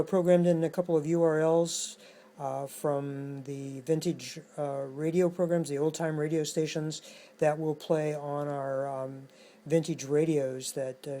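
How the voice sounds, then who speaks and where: Halting, matter-of-fact man demonstrating electronics. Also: fairly high pitch, flat delivery, dark tone. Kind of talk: casual hands-on demonstration.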